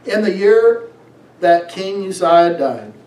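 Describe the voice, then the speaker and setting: A man's voice: high-pitched, lively intonation, a little mellow, a little hiss or hum. A middle-aged man, a pastor, preaching emphatically, reading scripture and pressing his points with rhetorical questions. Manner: emphatic, exhorting, drawn-out phrases with rhetorical questions. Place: small church sanctuary, on stage. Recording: echoey room, distant from mic.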